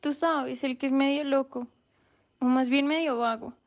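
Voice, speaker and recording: A woman's voice: high-pitched, steady tone, normal speed, muffled recording, natural voice. An actress playing a troubled young woman, confiding a story in a gossipy way for a radio drama. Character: troubled young woman confiding about her vagrant brother. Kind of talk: radio drama narrative monologue.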